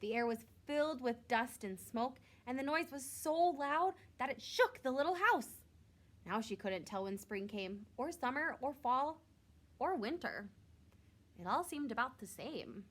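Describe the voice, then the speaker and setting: A woman reading a picture book aloud to young children, expressively, drawing out words for emphasis like a teacher. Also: sits high. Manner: expressive, with drawn-out emphasis and questions to listeners.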